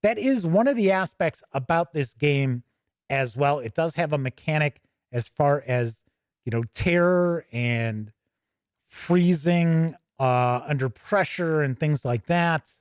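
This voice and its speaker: An American man with a fairly high voice hosts a casual gaming review. He rambles and thinks aloud as he goes. The sound is dry, with very little room to it. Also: expressive, slow pace, no echo. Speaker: tabletop gaming show host. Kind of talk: casual off-the-cuff game book review.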